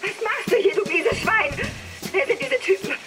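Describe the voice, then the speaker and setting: A woman's voice: very high voice, animated, normal speed, loud music behind the voice. An actress playing a fragile, volatile female film star in a German radio drama. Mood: frightened, furious.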